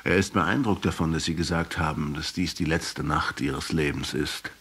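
A man playing a pensive middle-aged historian who narrates a radio drama in a husky, reflective, measured way. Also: a bass voice, fairly bright tone, heavily acted. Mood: quiet, earnest. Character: pensive 39-year-old male historian questioning a captive.

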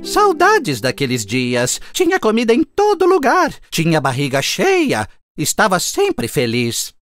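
A woman voicing a carefree, lazy grasshopper musician in a cartoon fable, proud but humbled, with an expressive, dramatic delivery. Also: on the low side, measured pace. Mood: wistful. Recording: music behind the voice.

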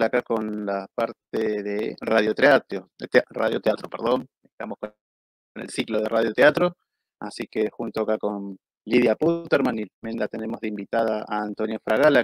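A man's voice: mid-range voice, dry recording, low-quality recording. A man in his forties with an Argentine accent, casually presenting a live cultural show as host. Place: indoors at a computer, live stream.

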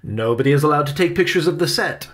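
A genial stage-actor uncle, as read by a male storyteller, friendly but firm. He explains things with emphasis, and his pitch moves up and down in a very animated way, as in an audiobook for children. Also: quick pace. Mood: firm, emphatic.

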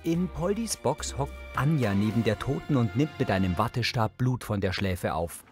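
Guy doing scripted audio description for a tv show, clear music behind him. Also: mid-range voice, expressive, normal speed.

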